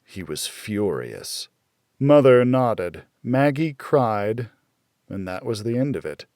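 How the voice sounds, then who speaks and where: Slow, deliberate male audiobook narrator. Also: lowish voice, big pitch swings, a little mellow, no room sound, clean studio sound. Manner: measured, deliberate, dramatic storytelling. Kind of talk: scripted first-person fiction narration.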